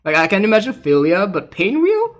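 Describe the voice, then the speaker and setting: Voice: medium pitch, wildly swooping pitch, fairly quick. A game streamer chatting casually and reacting to voice clips in an amused, informal way. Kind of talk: casual livestream reaction chat.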